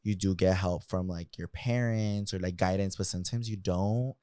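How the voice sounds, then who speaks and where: A man's voice: low voice, steady tone, moderate pace, dark tone, no room sound, studio quality. A man, chatting casually as a podcast co-host and telling stories in loose slang with casual swearing. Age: mid 20s. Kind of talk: casual sibling podcast chat.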